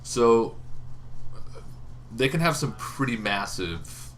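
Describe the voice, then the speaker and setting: A man hosting a tabletop gaming channel, explaining casually off the cuff in an informal, halting way, as if thinking aloud. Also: medium pitch, expressive, a bit bright. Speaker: host of a tabletop roleplaying lore channel. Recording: noticeable background noise.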